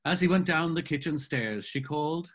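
An older man narrating a novel in a savoring, expressive reading style over a video call. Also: on the high side, normal speed. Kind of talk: dramatic literary reading. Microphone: video call webcam.

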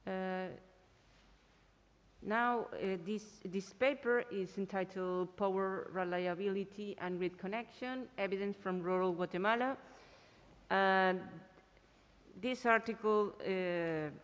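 A woman chairing a conference session, briskly introducing the next speaker with some hesitant fillers. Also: mid-range voice. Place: conference hall, at a panel table. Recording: long reverb of a large hall.

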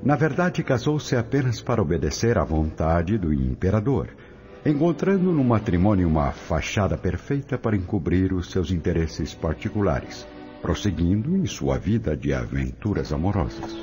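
Deep-voiced, expressive male narrator. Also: moderate pace, muffled recording, faint music behind. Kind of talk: scripted radio drama narration.

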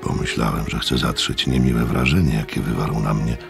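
An actor playing an observant, sceptical gentleman who narrates a radio mystery in a reflective storytelling manner. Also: rumbling low, steady tone, moderate pace, creaky, faint music behind, heavily acted.

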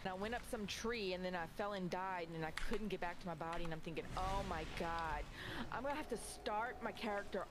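A woman's voice: lowish voice, quick pace. A woman in her forties chatting casually and telling stories as she games, animatedly acting out remembered dialogue. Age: 40s. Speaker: game streamer. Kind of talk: casual storytelling chat while gaming. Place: indoor home streaming room. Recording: clear background game noise.

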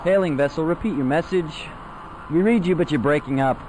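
An actor playing a businesslike rebel starfighter pilot, making brisk, clipped calls in a game cutscene. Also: male voice, on the high side, noisy background, lightly acted. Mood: alert. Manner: brisk, clipped radio-call delivery. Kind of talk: video game cutscene acting.

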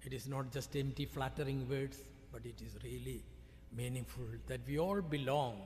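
A man's voice: medium pitch, normal speed, darkish tone, slight background noise. A middle-aged man from India with an Indian accent, a visiting priest giving a sermon, earnest, with emphatic rises on key phrases. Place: large church, at the pulpit. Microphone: pulpit microphone. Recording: reverberant church from a far camera.

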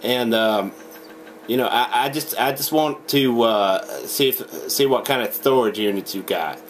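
A young man making a deadpan prank phone call, halting, stammering and rambling as he talks in a home room. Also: medium pitch. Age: young adult. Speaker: prank caller. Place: small indoor home room. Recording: noisy webcam recording.

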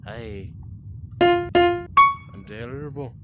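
A man playing a pirate-like fox in a virtual reality game, talking in a growly mock pirate manner full of "aye" and "bloody", over loud background noise. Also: fairly high pitch, wildly swooping pitch, extremely slow, loud music behind the voice. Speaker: VRChat player. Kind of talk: in-character roleplay as a pirate fox.

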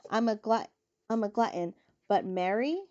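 A woman's voice: mid-range voice, normal speed, darkish tone, muffled recording, lightly acted. A woman voicing a sour, greedy male rat who grumbles, in a storybook reading.

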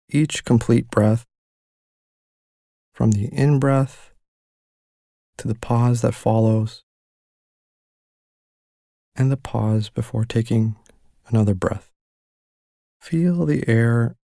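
A man leading a guided mindfulness meditation with slow, gentle and soothing instructions. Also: medium pitch, gravelly, no echo, studio quality.

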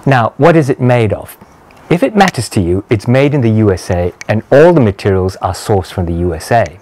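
A man in his sixties giving a casual product review to camera in a measured, understated, conversational way. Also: fairly low pitch, expressive, a little mellow. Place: small walled garden patio, outdoors. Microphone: lapel mic.

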